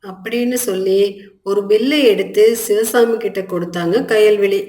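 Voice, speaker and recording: A woman's voice: medium pitch, normal speed, warm tone, reverberant. A woman narrating a scripted children's story.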